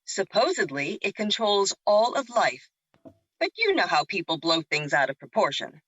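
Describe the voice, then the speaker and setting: A woman's voice: medium pitch, big pitch swings, normal speed, fairly bright tone, slightly rough, no echo. A woman acting in an audio drama as a teasing, breezy celestial sister, casual and wry, on a slightly dull recording. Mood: casual, gossipy.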